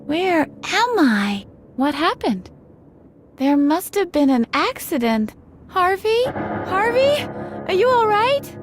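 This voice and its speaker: A woman's voice: very high-pitched, sing-song, takes it slowly, heavily acted. A woman voicing a plucky, wry young girl who escaped an asylum, in an adventure game. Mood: dazed, worried. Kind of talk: adventure game character acting. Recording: background music under the voice.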